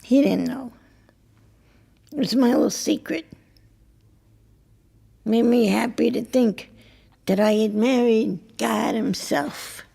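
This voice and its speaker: Radio play role: blunt, wry older wife, heavy creak, slow halting delivery. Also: female voice, fairly high pitch, dark tone, exaggerated voice. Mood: wry, confiding. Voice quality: husky, creaky. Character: blunt, worldly married woman who works in a restaurant. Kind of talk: intimate two-person audio drama acting.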